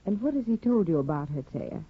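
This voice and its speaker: A woman's voice: mid-range voice, big pitch swings, fast, husky. A woman playing a proud, bored aristocratic young wife in a 1950s radio drama, cool and mocking. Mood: teasing. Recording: tinny old radio broadcast.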